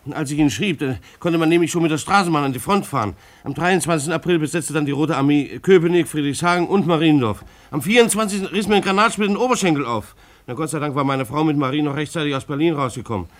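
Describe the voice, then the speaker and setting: A man acting an upright, stubborn husband and clerk in a radio drama. His voice sits fairly high and he stumbles over his words, repeating them as he insists on doing the right thing. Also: fast talker, natural voice. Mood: explaining, flustered. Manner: hesitant, repeating himself. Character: earnest, stubbornly upright married clerk, rattled under pressure. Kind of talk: German radio play acting.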